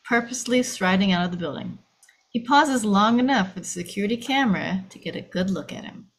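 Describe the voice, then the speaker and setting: Female narrator for script action lines, mid-pitched, dramatic scene-setting. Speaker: narrator reading stage directions. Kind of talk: screenplay table read narration.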